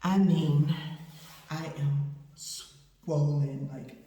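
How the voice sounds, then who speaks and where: A woman's voice: sits low, fairly even intonation, extremely slow, warm tone, far from the mic. Blunt East Texas woman, echoey room. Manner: blunt, rambling, candid. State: swollen and sore after surgery. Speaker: vlogger recovering from surgery. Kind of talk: casual unedited video diary. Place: indoor room with a door.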